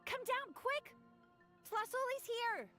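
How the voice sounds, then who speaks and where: A woman's voice: very high voice, expressive, slow pace, over music, heavily acted. A woman playing a friendly, upbeat young villager in a video game, encouraging and articulate. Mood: calling urgently. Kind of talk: video game character acting.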